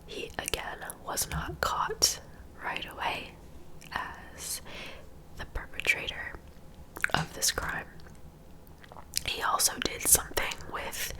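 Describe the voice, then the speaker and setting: A woman narrating true-crime ASMR in a soft, breathy whisper, with an informal, conversational manner. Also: low-quality recording.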